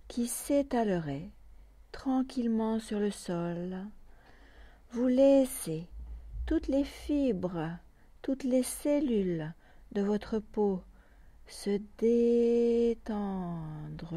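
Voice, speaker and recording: Woman, meditation guide, very slow pace, mellow soft tone, calm, short phrases with long pauses, dry recording. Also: mid-range voice, no room sound. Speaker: yoga nidra meditation guide.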